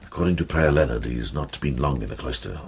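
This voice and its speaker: A man playing a kindly, thoughtful older medieval monk in a radio drama, speaking in a measured, reflective way. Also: very deep voice, darkish tone, slight background noise. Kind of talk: BBC radio drama acting.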